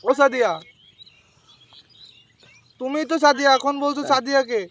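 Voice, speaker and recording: A man's voice: extremely high pitch, steady tone, moderate pace, fairly bright tone, a little hiss or hum. A man playing a jilted young village boyfriend on a prank call, pleading, repetitive and melodramatic. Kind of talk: prank phone call acting.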